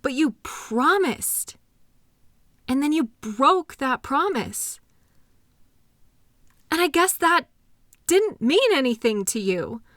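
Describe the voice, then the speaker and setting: Hurt, mistrustful girlfriend voiced by a woman for relationship audio drama: very lively intonation, close dry sound, anxious and accusing. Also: sits high, no room sound, exaggerated voice. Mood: wounded, angry. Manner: emotional, accusatory, halting. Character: hurt, anxious girlfriend with trust issues, arguing with partner. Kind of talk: intimate roleplay audio drama.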